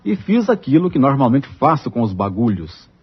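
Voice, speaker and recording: A man's voice: medium pitch, big pitch swings, moderate pace, husky. A man with a Brazilian accent delivering a blunt, scathing record review as a radio critic. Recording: muffled archive radio, faint music.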